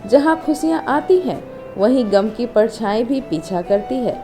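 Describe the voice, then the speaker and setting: A woman narrating an emotional family story as a storyteller, in a measured, expressive way. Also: fairly high pitch. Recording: music under the voice. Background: instrumental music.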